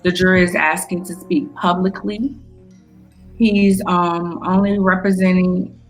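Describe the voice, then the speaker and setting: A woman's voice: on the low side, fairly even intonation, unhurried, soft background music, poor audio. A blunt, opinionated American woman co-hosting a podcast and casually talking through the news. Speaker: podcast co-host. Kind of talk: casual news discussion between friends.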